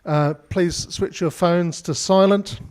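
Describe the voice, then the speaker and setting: Brief: New man hosting a community meeting, slightly high voice, halting housekeeping notes. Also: fairly even intonation, a little mellow. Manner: halting, frequent uhs. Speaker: meeting host. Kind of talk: announcements before speeches. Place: community hall meeting room.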